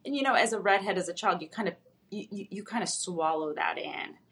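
A woman's voice: fairly low pitch, brisk, gravelly. A woman whose first language is Russian, a psychologist speaking as a guest and thoughtfully recounting her own childhood with frequent ums. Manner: thoughtful, with frequent ums and soft trailing ends. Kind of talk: reflective personal storytelling.

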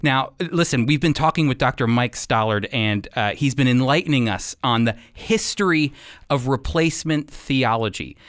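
A man's voice: mid-range voice, moderate pace, fairly bright tone, dry recording. Male religious radio host, dry close sound. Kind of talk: conversational religious radio teaching.

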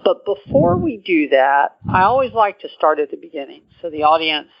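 A man's voice: a little high, very animated, moderate pace, gravelly. A man hosting an interview podcast, upbeat and welcoming as he introduces and questions his guest. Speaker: podcast host. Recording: muffled lo-fi audio with faint music.

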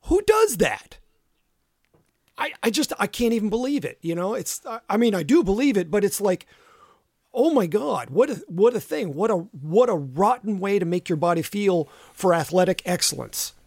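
A man co-hosts a casual podcast with a gravelly, lively voice that swings up and down. He drops exasperated, wry asides. The recording is dry. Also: high-pitched, normal speed, no room sound.

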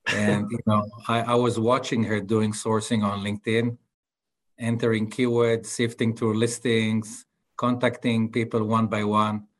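A middle-aged man with an Israeli accent, a guest entrepreneur speaking haltingly with many filler sounds in a podcast interview. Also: fairly low pitch, flat delivery, poor audio.

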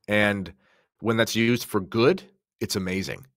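A man's voice: lowish voice, measured pace, a bit bright, no echo, clean studio sound. A man from Canada speaking as a podcast guest in a casual religious discussion, explaining things in an earnest, conversational way.

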